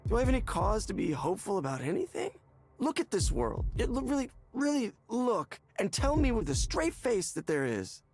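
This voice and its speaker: An actor playing a desperate, agitated man in a counseling session, halting and stammering in a naturalistic visual novel style. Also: high voice, very animated, darkish tone. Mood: despairing. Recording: music behind the voice.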